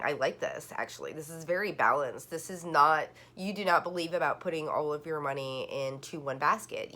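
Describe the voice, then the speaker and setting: Low-voiced woman giving a rambling tarot reading. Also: fairly bright tone. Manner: rambling, with many "like" fillers and restarts. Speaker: tarot card reader. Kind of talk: casual online tarot reading.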